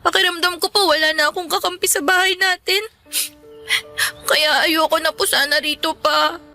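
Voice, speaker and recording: An actress playing a meek, hurt teenage daughter in a Filipino radio drama, speaking politely, hesitantly and pleadingly. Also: female voice, very high-pitched, fairly even intonation, moderate pace, pushed and bright, faint music behind, exaggerated voice. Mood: pleading, sad.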